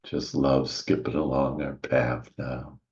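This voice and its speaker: A man leading a guided meditation in a gentle, soothing, hushed manner with long pauses and soft sighs, on a slightly muffled recording. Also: a bass voice, flat delivery. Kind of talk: soothing guided meditation, slow spiritual visualization.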